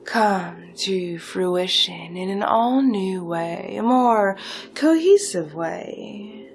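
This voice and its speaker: A woman playing a seductive, smugly delighted supervillain recruiter in a theatrical hypnosis roleplay, with deliberate phrasing that often dips toward whispers. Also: medium pitch, expressive, a little hiss or hum. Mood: zealous, persuasive. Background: music.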